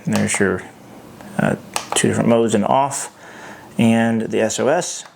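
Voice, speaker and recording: Gravelly-voiced man reviewing a product. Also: fairly low pitch, fairly even intonation, measured pace, very noisy. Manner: hesitant, thinking aloud. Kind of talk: unscripted hands-on product review.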